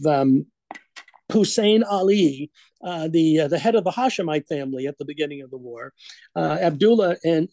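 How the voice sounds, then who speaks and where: A man giving an unscripted history lecture, halting often with filler sounds and restarts and wandering into conversational asides. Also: sits high, warm tone, no room sound. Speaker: guest speaker at a history association meeting.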